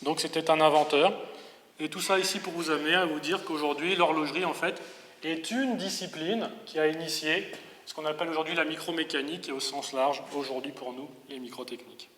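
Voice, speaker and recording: A man's voice: fairly high pitch, fairly even intonation, fairly quick, fairly bright tone, a little hiss or hum. A man in his forties from the Paris region with a metropolitan French accent gives a public lecture, explaining and rephrasing with some hesitation. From Paris region, France. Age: 40s. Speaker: engineering professor giving a public lecture. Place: large reverberant lecture venue. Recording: echoey hall with long reverb.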